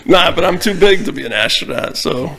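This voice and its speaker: A man's voice: on the high side, lively intonation, brisk, creaky. A young man co-hosting a casual podcast, laid-back and goofy, giving short replies. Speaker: podcast co-host. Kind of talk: casual banter. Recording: noisy, crackly recording.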